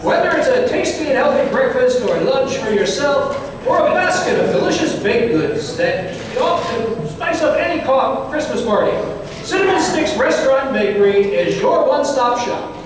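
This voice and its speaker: A man's voice: very high voice, big pitch swings, normal speed. A man hosting an old-time radio show, reading a sponsor message as a folksy announcer. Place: live stage performance in a hall. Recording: very echoey hall, distant mic, faint noise.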